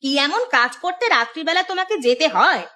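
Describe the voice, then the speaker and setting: A woman plays an exasperated village housewife in an audio story. Her voice is high and sharp-tongued. She scolds and nags like a worn-out mother running the house. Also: animated, moderate pace.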